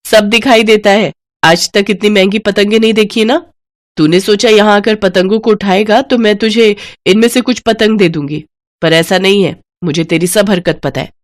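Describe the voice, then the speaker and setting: A woman voicing a haughty rich mistress of the house in a sharp, bossy, accusing manner for a children's story. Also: mid-range voice, steady tone, moderate pace, close to an everyday voice. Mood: angry scolding.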